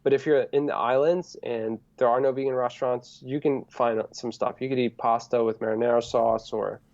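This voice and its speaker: Male guest, US-raised, grew up speaking Greek at home; relaxed, rambling live-stream talker, slightly muffled audio. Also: mid-range voice, moderate pace. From United States. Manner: relaxed, rambling, laughs easily. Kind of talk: casual live-stream chat.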